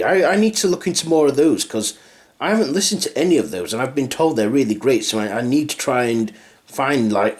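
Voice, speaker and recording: A man casually reviewing for online viewers, rambling and rephrasing himself as he goes. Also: mid-range voice. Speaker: YouTube reviewer.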